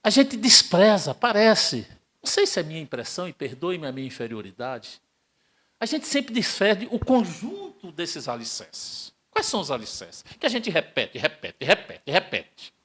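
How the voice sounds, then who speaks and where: Older lecturer, lively pitch swings, somewhat high voice, emphatic, rhetorical, spiritist talk in a hall. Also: male voice, normal speed, husky. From Brazil. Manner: emphatic, rhetorical questions, swings between loud and hushed. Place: spiritist center hall, live audience.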